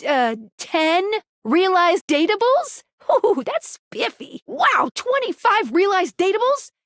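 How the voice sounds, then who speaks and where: A woman playing a bubbly, quirky, playful object come to life who guides the player in a video game, with perky, expressive delivery. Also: very high voice, takes it slowly, dry recording, studio quality, full character voice. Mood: excited. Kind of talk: video game character voice lines.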